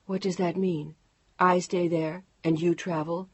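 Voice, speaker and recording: A woman voicing a distraught, defiant pregnant woman who shouts and pleads. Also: flat delivery, unhurried, breathy edge, no room sound, lo-fi sound. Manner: shouting, pleading, raised pitch.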